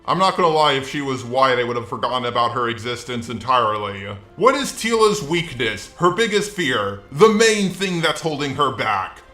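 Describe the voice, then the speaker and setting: Synthetic male voice, robotic text-to-speech, sharp tone, sarcastic ranting commentary, scripted delivery, music underneath. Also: mid-range voice, very animated, normal speed, few pauses, roomy sound. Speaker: video commentator.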